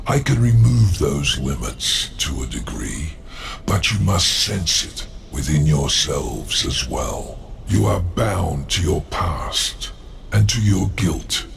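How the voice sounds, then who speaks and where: A man voicing a grave, menacing ancient overseer spirit in a game cutscene, with a gravelly, slow and portentous delivery. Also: low voice, a bit bright, slight background noise, full character voice. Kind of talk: video game cutscene acting.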